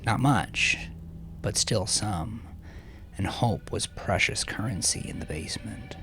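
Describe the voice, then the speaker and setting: A man narrating dark dramatic fiction in a brooding, theatrical way, with breathy hushed phrase endings. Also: fairly low pitch, takes it slowly. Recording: music behind the voice. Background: music.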